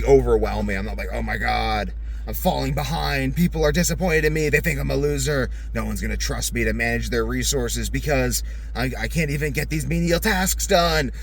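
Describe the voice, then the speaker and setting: A man giving a casual, rambling monologue in a blunt, profane way, often acting out his own inner voice. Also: medium pitch. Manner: blunt, profane, animated self-quoting. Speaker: vlogger. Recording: clear background noise.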